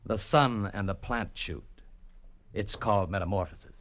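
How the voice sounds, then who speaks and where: A man's voice: fairly low pitch, normal speed, a bit bright, close to an everyday voice. An actor playing a polite but touchy male artist, speaking with formal precision in a vintage radio drama. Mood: affable explaining. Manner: courteous, precise, somewhat formal. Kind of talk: 1940s radio acting. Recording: faintly noisy old radio recording.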